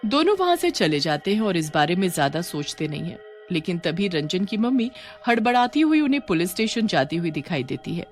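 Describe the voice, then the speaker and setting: A woman's voice: lowish voice, very animated, a little fast, soft background music. A woman narrator reading a scripted horror story.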